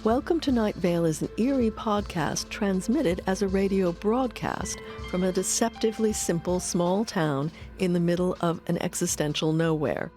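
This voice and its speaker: A woman giving measured, articulate scripted narration as the narrator of a documentary. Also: on the low side, a little mellow, faint music behind.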